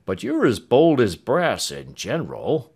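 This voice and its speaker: A man doing a calm, wry manservant. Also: medium pitch, a little slow, gravelly, breathy edge, pitched above the performer's own voice, exaggerated voice. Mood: composed. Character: composed, dry-witted young male servant.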